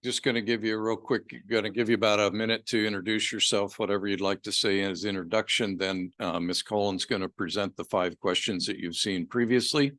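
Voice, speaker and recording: A man chairing a public meeting, giving brisk procedural instructions and short acknowledgements. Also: fairly low pitch, steady tone, a bit bright, no echo. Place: council meeting room.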